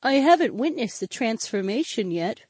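A man voicing a polite, gentle young woman with courteous, measured speech in a storybook. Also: very high-pitched, big pitch swings, dry recording, clean studio sound, pitched above the performer's own voice, heavily acted. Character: polite, soft-spoken young brunette woman. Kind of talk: audiobook character dialogue.

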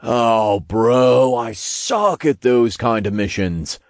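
A man's voice: medium pitch, expressive, slow, dry recording, clean studio sound. A man voicing a small male imp, a whiny, slangy slacker bro in a fantasy audiobook. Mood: whiny protest.

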